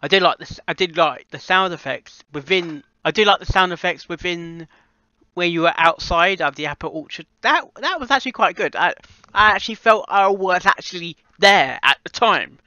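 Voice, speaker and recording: A man with a fairly high, very bright voice talks off the cuff as in a casual reaction video. He speaks haltingly, circling back to repeat and rephrase his points. The recording is dry and slightly dull. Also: expressive, no room sound. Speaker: amateur voice actor reviewing a fan fiction audio reading. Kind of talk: unscripted casual reaction vlog.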